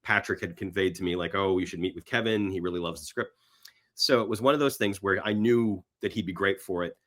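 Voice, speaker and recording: A man's voice: low voice, fairly quick, fairly bright tone. A man being interviewed, telling stories casually in a rambling way and acting out imagined bits of dialogue. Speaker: podcast guest, screenwriter.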